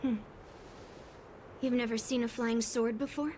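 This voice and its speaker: A woman's voice: on the high side, steady tone, measured pace, warm tone, a little hiss or hum, natural voice. A woman voicing a headstrong, blunt young swordswoman in a video game, insistent and stern. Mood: confident, matter-of-fact.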